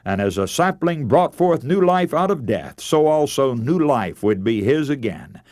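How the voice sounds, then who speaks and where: A man's voice: medium pitch, big pitch swings, measured pace, darkish tone, no echo, clean studio sound. A man preaching a scripted radio sermon, telling a story in a solemn, formal and rhetorical manner.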